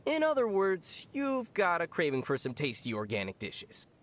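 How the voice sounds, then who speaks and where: A woman voicing a teasing, deadpan young traveler in a video game, with a dry manner. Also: on the low side, normal speed. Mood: wry. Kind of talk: video game character dialogue.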